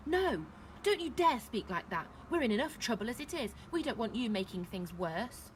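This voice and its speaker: A woman voicing a furious, panicked village mother in a storybook, shrill and accusing. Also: expressive, moderate pace, slight background noise, lightly acted. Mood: frightened anger.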